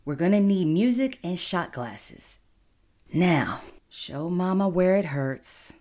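A woman's voice: fairly low pitch, expressive, takes it slowly, a little mellow. An actress playing a breezy, protective best friend who likes to mother, in an audio drama. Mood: breezy, comforting.

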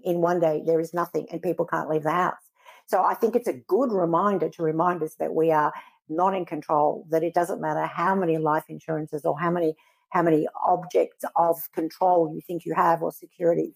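Husky, gravelly woman reflecting earnestly. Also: lowish voice, steady tone, a little mellow. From Israel. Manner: earnest, measured, searching for words. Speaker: guest. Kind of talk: reflective interview answers.

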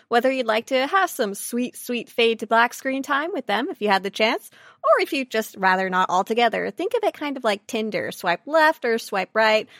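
A woman's voice: a little high, a bit bright, no room sound, clean studio sound. A woman co-hosting a podcast, explaining things casually in a lighthearted, amused way. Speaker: podcast co-host.

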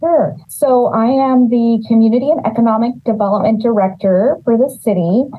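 A woman's voice: fairly high pitch, moderate pace. A woman from California, a city development director, earnestly explaining her work as an interview guest. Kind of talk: interview answers. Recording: dull lo-fi audio.

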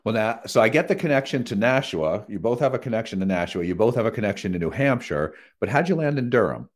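Deep-voiced male radio host. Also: expressive, quick pace. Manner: friendly, conversational, with filler "uh"s.

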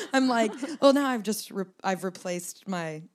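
A young woman with a slightly raspy low tone, co-hosting a podcast in a rambling, joking chat. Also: animated, slow pace. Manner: rambling, laughing, swears casually. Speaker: podcast co-host. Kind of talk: casual chat.

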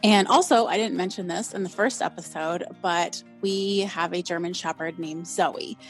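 A woman's voice: fairly low pitch, steady tone, moderate pace, soft background music. A young woman hosting a casual solo podcast, chatty and upbeat, with a slightly nasal voice and frequent "um"s. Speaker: podcast host.

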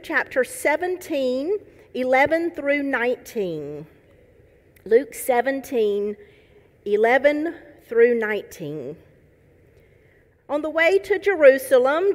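A woman pastor giving a sermon, conversational and lightly humorous as she reads scripture and tells a story. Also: on the high side. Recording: long hall reverb.

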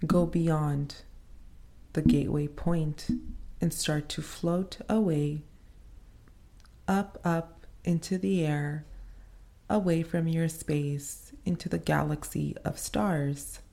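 Female meditation host: low-pitched, relaxed tone, soothing delivery in short, spaced phrases. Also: vocal fry. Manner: soothing, unhurried, pausing between short phrases. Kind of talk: slow guided meditation.

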